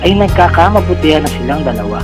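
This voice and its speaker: A man's voice: on the high side, animated, fast talker. A man with a Filipino accent giving scripted storytelling narration for a radio drama. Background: music.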